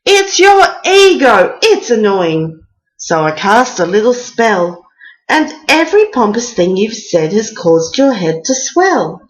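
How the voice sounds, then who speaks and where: A woman's voice: a little high, very animated, slow, poor audio. Woman acting a blunt young sorceress maiden. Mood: exasperated. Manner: blunt, matter-of-fact scolding. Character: blunt, unimpressed peasant maiden who is a sorceress.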